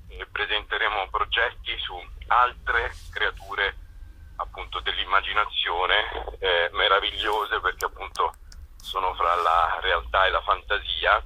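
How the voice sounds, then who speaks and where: A man with a very deep, husky voice answers in an informal interview on a phone. He feels his way through sentences, often pausing to find the word. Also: bright, forceful tone, poor audio. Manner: hesitant, searching for words. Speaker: festival co-director being interviewed.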